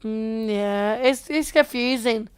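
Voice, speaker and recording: A woman's voice: on the high side, slow, clear, resonant tone. A woman co-hosting a podcast in casual chat, informal and blunt, often repeating her phrases. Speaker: podcast co-host.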